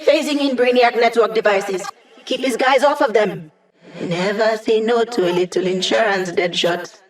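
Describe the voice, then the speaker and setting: A woman's voice: fairly high pitch, normal speed, faint music behind, natural voice. Wry young female hacker, played by an actress. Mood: focused, brisk. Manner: playful, sardonic, casual. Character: wry, upbeat young female hacker and tech support ally. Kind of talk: video game voice lines.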